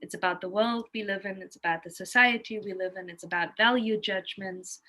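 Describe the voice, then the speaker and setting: A woman's voice: medium pitch, fairly even intonation, brisk, pushed and bright. A woman with a German accent, a native German speaker, talking casually and emphatically in an informal, opinionated way. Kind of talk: casual, animated conversation.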